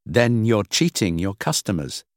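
A man's voice: on the low side, big pitch swings, a little slow, no room sound, clean studio sound. A man voicing an earnest, determined young woman employee in an audiobook, plain and insistent. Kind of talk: audiobook character acting.

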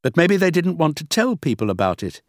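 A man's voice: fairly high pitch, very animated, fairly quick, no echo, studio quality, voice pushed up. A man voicing a curious, earnest young woman researcher in an audiobook reading. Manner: polite, earnest, questioning.

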